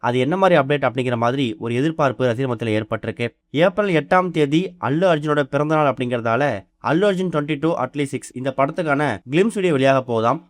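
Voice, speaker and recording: A man's voice: mid-range voice, quick pace, no echo. A man presenting entertainment news in a scripted narration style.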